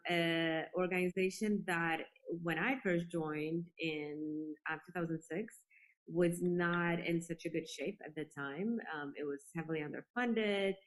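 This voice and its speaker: A woman from Poland giving earnest, reflective answers as a guest in a conversational interview. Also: lowish voice. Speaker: interview guest, space industry executive.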